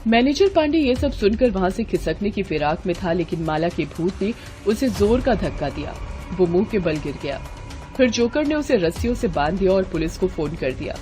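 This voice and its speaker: A woman's voice: medium pitch, animated, moderate pace, mellow, faint music behind. A woman narrating a children's horror tale in a dramatic, scripted storytelling style.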